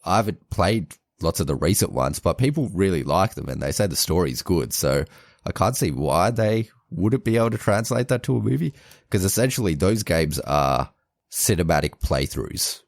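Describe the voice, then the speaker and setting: A man co-hosting a podcast in casual chat, measured and thinking aloud as he gives his views. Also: deep voice, lively intonation, gravelly, no room sound, studio quality. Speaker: podcast co-host.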